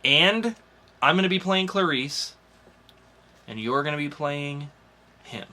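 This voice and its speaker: A man's voice: on the high side, expressive, slow pace, bright, forceful tone. A man hosting a podcast in a casual, chatty style. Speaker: podcast host.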